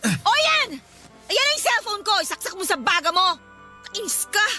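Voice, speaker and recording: Irritable live-in girlfriend, Filipino accent, piercingly high pitch, sharp-tongued, radio drama delivery, clear music bed. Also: sing-song, normal speed, heavily acted. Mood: defiant, irritated. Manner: snappish, scolding, exasperated. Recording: music behind the voice.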